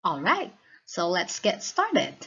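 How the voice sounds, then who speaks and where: A woman with a Filipino accent narrating a scripted lesson as a teacher, in a deliberate, instructional manner. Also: on the low side, huge pitch swings, creaky, bright, forceful tone, slightly rough. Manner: deliberate, instructional, checks with listeners. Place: recorded voice-over for slide lesson. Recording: dull, lo-fi voice recording.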